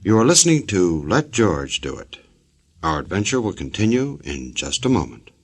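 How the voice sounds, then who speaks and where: A man with an American accent reading scripted old-time radio introductions in a husky, folksy, tongue-in-cheek way. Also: low-pitched, big pitch swings, moderate pace, soft background music. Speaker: radio show announcer.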